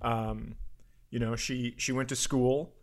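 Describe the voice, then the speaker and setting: A man's voice: medium pitch, flat delivery, slow pace. Casual comedic man, crude joker. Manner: crude joking, acts out quoted lines. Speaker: comedy podcast co-host. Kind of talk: casual comedic banter and storytelling.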